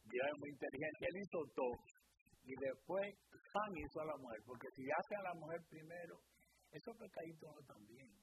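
A Dominican-born man, a bishop and pastor, chatting in an unhurried, folksy way with preacherly turns of phrase. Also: a little high, lively intonation, slight background noise, rough recording. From Dominican Republic. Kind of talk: casual radio conversation.

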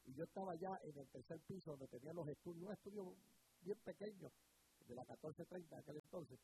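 Middle-aged man, radio pastor, preaches with fervor and repetition, a touch high-voiced, over audible hiss. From Puerto Rico. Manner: fervent preaching with repetitions and drawn-out emphasis. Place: radio studio. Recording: hissy broadcast recording.

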